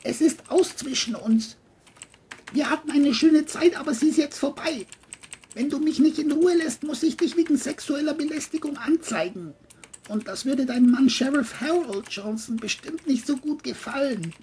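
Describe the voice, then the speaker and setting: Ex-lover in crime audio play, a woman acting: man with oddly high voice, firm and emphatic. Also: normal speed, mellow, slight background noise, lightly acted. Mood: angry, firm. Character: firm man fending off his former lover.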